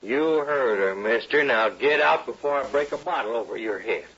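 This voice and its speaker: An actor playing a plain-spoken, fiercely loyal frontier bartender with folksy speech in an old-time radio western. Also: male voice, mid-range voice, expressive, normal speed, a bit bright, husky. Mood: indignant. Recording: dull old radio recording.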